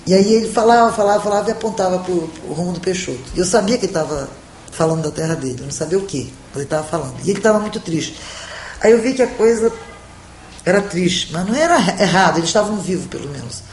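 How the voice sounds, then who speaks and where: A woman's voice: lowish voice, animated, fast talker, a little mellow, reverberant, slight background noise, rough recording. A middle-aged woman journalist in a documentary interview, speaking reflectively with hesitations.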